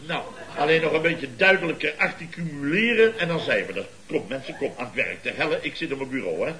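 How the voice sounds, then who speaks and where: A man acting a pompous, excitable middle-aged boss of a building firm in an old radio comedy, exclaiming and repeating himself. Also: fairly high pitch, a little fast. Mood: excited, hearty. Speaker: comic actor in a radio comedy series. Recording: old radio recording with studio audience.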